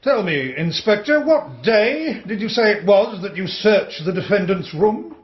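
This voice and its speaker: Bullying male defence lawyer for radio drama, high voice, pushy and emphatic, roomy dull sound. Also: very animated, a little slow. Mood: probing, sceptical. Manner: hectoring, emphatic cross-examining. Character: bullying male defence barrister, relentless and imperious. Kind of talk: radio courtroom drama acting.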